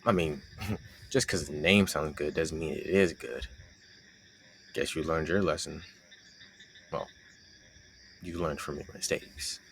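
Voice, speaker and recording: A man's voice: extremely low pitch, takes it slowly, gravelly, long pauses, a bit bright, a little hiss or hum, full character voice. A young man playing a playful, teasing roommate with a hidden crush, chatting casually and sarcastically to the listener in an intimate roleplay audio. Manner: teasing, conversational, sarcastic banter.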